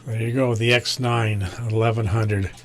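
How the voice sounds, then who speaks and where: A man talking casually and bluntly over amateur radio, with a halting, opinionated delivery. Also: on the low side, level tone.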